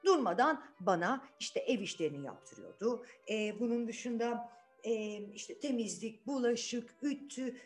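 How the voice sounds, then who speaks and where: Woman as a put-upon kind young fairy-tale heroine, chatty, animated intonation, explaining to children, music behind. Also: a little high, unhurried. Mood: explaining, plaintive.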